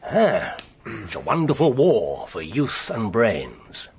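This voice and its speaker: A weary but shrewd older government official, measured and persuasive. His voice is husky, with lively rises and falls. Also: on the low side, full character voice. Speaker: senior official.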